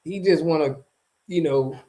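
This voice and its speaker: A man hosting a casual livestream, reacting to viewer comments in an animated, slangy and mocking way. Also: on the high side, measured pace. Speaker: streamer reacting to viewer chat.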